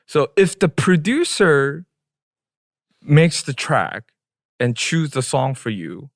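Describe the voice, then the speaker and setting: A man's voice: on the high side, very animated, slow, vocal fry, no echo, studio quality. A man whose first language is Korean, co-hosting a podcast in English and explaining at length, dropping into Korean phrases now and then. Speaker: podcast co-host. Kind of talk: casual podcast discussion.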